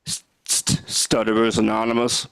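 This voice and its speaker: A young man doing live stand-up with a stutter, talking slowly and haltingly with deadpan one-liners. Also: fairly low pitch, creaky, clear, resonant tone, no room sound. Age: young adult. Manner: slow, halting delivery with restarts. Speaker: stand-up comedian. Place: comedy club stage.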